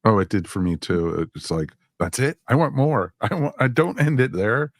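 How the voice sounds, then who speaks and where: A man with a low voice chats casually as a podcast co-host. He circles back over his phrases and moves haltingly through each point. The recording is dry and close. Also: expressive, no room sound. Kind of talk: casual, conversational review.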